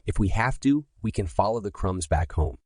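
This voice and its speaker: A man's voice: fairly low pitch, lively intonation, normal speed, darkish tone, no room sound, studio quality, close to an everyday voice. A man voicing a brave, earnest young girl who looks after her brother in a bedtime story. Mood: determined. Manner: earnest, reassuring, polite. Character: brave, resourceful young girl, caring big sister.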